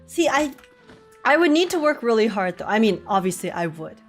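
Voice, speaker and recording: A woman's voice: fairly high pitch, very animated, brisk. Informal woman livestreamer with game music behind. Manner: giggly, informal. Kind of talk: casual livestream chat, reading text aloud.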